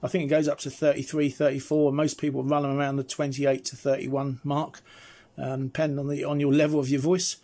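A man's voice: mid-range voice, level tone, a little fast, warm tone. A middle-aged man giving a casual, chatty, explanatory hands-on review as a presenter. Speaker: presenter reviewing radio gear.

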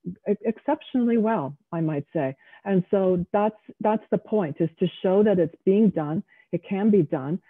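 A middle-aged woman speaking as an author on a panel, explaining in a measured, emphatic way over a video call. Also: fairly low pitch, no room sound. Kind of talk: panel discussion answer. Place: home room with bookshelves. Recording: tinny, dry phone-like audio.

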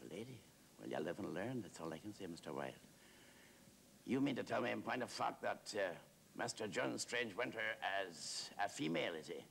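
A man's voice: fairly low pitch, expressive, normal speed, vocal fry, fairly bright tone, a little hiss or hum, voice pushed up, full character voice. A man playing a naive, talkative working-class warder who rambles deferentially in a theatrical monologue. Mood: astonished. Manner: rambling, hesitant, repetitive, with deferential address.

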